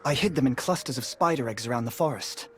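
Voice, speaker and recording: An actor playing an earnest, courteous resistance investigator in a video game, polite and a little hesitant when worried. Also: male voice, medium pitch, quick pace, soft background music, lightly acted. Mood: earnest, urgent. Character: earnest, courteous male resistance investigator, anxious about a missing child. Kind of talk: video game character dialogue.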